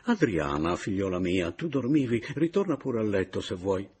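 A man voicing an overbearing, fawning gentleman in a read-aloud novel, effusive and imperious by turns. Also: lowish voice, sing-song, normal speed. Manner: smooth-talking, effusive, imperious.

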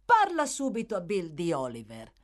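A woman's voice: on the low side, wildly swooping pitch, moderate pace. A woman voicing a bold, defiant young woman of a thieves' gang in an audiobook, brash and confident. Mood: brash, amused.

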